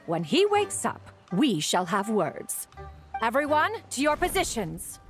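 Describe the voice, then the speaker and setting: A woman's voice: fairly high pitch, big pitch swings, unhurried, faint music behind. A woman voicing a haughty, stern immortal in a video game, speaking in an imperious, formal way. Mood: indignant, commanding. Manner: imperious, formal, calls herself one.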